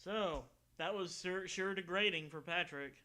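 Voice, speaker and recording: A man's voice: high voice, a little slow, pushed and bright. A man co-hosting with casual, sarcastic joking commentary. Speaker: co-host commenting on the reading.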